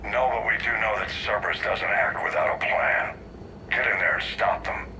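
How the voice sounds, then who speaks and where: A man's voice: lowish voice, normal speed, creaky, sharp tone, a little hiss or hum, exaggerated voice, rough recording. An actor playing a stern older male fleet admiral who gives clipped, commanding orders over the radio.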